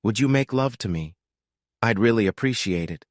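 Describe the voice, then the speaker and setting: A man's voice: fairly low pitch, expressive, normal speed, dry recording, natural voice. A sweet, pleading middle-aged wife from an audio story, acted out by a male narrator. The delivery is coaxing and the recording is very dry. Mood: coaxing, soft. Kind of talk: audio story character acting.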